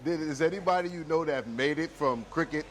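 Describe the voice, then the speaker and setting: A man with a slightly high voice works as a video host, chatting informally with a guest. His delivery is laid-back and conversational. Clear outdoor traffic noise runs behind him. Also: a little fast, a bit bright. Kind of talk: casual street interview. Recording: noisy outdoor recording with traffic.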